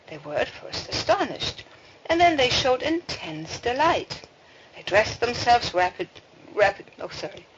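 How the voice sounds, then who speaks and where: A woman reading a fairy tale aloud as a storybook narrator, with expressive delivery. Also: medium pitch. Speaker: storybook reader. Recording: dull, noisy home recording with clicks.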